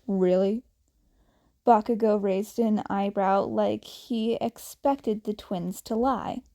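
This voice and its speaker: A woman narrating a fan audiobook in an unhurried, gentle reading. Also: medium pitch, fairly even intonation, gravelly. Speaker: podfic reader.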